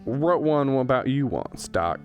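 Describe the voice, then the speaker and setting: A man's voice: medium pitch, expressive, a little slow, lo-fi sound, faint music behind, natural voice. A man playing an aging professor and writer in a radio comedy, folksy and self-deprecating. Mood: jocular. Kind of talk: radio drama acting.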